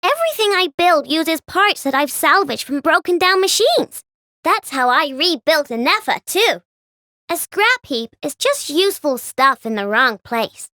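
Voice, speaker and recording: A woman's voice: high voice, measured pace, dry recording, studio quality, heavily acted. A bubbly young inventor girl. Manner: chatty, excitable, boastful. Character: bubbly young female robot-building inventor. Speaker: game character voice. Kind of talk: recorded game voice lines.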